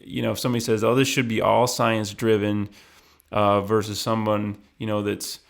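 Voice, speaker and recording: A man's voice: on the low side, level tone, normal speed, creaky. A man hosting a hunting show interview, asking long rambling questions full of fillers. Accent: Michigan. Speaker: podcast host interviewer. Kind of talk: conversational interview.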